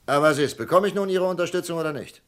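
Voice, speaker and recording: An actor playing a confident, commanding FBI captain in an old radio crime drama. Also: male voice, on the high side, a bit bright, close to an everyday voice. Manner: commanding, brisk. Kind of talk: vintage radio drama acting.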